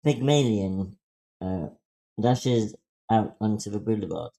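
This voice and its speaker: A man's voice: on the low side, slow pace, vocal fry, warm tone. A man reading out stage directions at an online screenplay table read.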